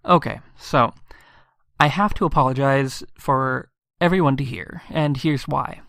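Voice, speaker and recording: A man's voice: medium pitch, measured pace, vocal fry, fairly bright tone, no room sound, clean studio sound. A man hosting a podcast, giving an earnest, presentational introduction. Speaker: podcast host.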